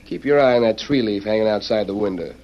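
Man playing a swaggering low-voiced outlaw. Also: fast, very noisy, close to an everyday voice. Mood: cocky, sizing up. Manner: cocky, slangy, goading. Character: swaggering, menacing, scheming male western gunslinger outlaw. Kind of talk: old-time radio western acting. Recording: muffled vintage radio recording.

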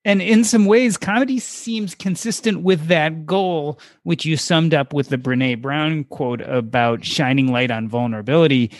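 A male interviewer with a somewhat high voice asks measured questions and sums up what his guest has said. The recording is dry and close. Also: dry recording.

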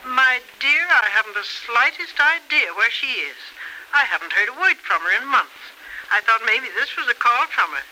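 A woman's voice: a little high, lively intonation, moderate pace. An actress playing a genteel grandmother with effusive, well-mannered phrasing in a radio soap opera in the style of the 1940s. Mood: concerned, grateful. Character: anxious, grateful grandmother, well-mannered, resigned about her daughter. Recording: old noisy radio recording, sharp sound.

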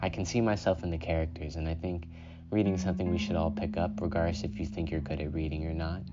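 A man hosting a solo self-care podcast, talking in a calm, unhurried way and often saying "you know". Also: sits low. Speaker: podcast host. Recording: dull lo-fi sound with guitar music.

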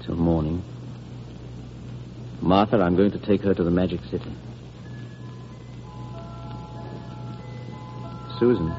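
An actor playing a tender, grieving guardian in an old radio drama, gentle, earnest and theatrical. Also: male voice, sits low, fairly even intonation, normal speed, slight background noise, lightly acted. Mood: grave, worried. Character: tender, grieving guardian promising a fairy-tale journey. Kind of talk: 1940s radio drama acting. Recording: muffled vintage radio recording, music behind.

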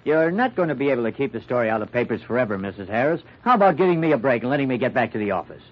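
Muffled old radio recording, hard-boiled reporter, sardonic and slangy, pitch jumps around a lot. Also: male voice, sing-song, rapid-fire. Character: brash, hard-boiled, wisecracking male newspaper reporter. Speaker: radio drama actor. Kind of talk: old-time radio mystery acting.

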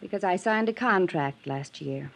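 An American actress playing a jaded young television comedienne with dry, theatrical wisecracks in a classic radio drama. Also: female voice, on the low side, animated, normal speed, gravelly. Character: sardonic, jaded female television comedienne trapped by contract. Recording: vintage radio recording, faint background noise.

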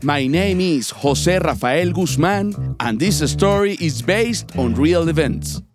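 Slow male narrator over loud guitar. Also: on the high side, expressive. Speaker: podcast host and storyteller. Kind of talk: scripted narration. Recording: loud guitar music behind voice. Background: guitar music.

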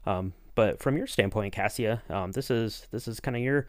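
A man's voice: on the low side, moderate pace, no echo, clean studio sound. A man co-hosting a podcast as interviewer, talking casually in a rambling, enthusiastic way with many you-knows. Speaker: podcast co-host acting as interviewer.

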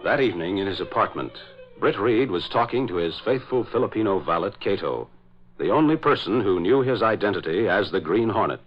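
Low-voiced 1940s radio announcer, man. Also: animated, brisk, over music. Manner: formal, emphatic, dramatic. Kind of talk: 1940s radio scripted narration. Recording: dull vintage radio recording.

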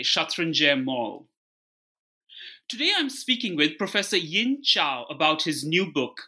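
A man's voice: fairly high pitch, bright, forceful tone. A man hosting an interview podcast, politely and formally introducing his guest and asking questions.

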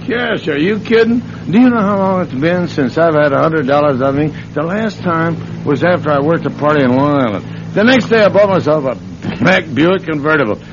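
A man's voice: a little high, animated, moderate pace, hardly any pauses. A man playing a cocky middle-aged American con man and thief in an old-time radio crime drama, slangy, brash and bossy. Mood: wry, casual. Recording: muffled, hissy old radio broadcast.

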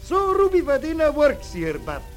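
Excitable high-pitched restaurateur. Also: male voice, moderate pace, exaggerated voice. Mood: grudging, suspicious. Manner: indignant, complaining, broken English. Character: short, excitable, grumbling male immigrant restaurant owner. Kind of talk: 1940s-50s radio character acting. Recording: old radio recording, faint music.

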